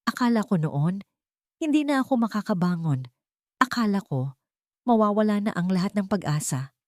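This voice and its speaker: Gentle young wife voiced by a female storyteller, sentimental, sincere, romantic audio story, dry recording. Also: mid-range voice, lively intonation, normal speed, no room sound, natural voice. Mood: wistful.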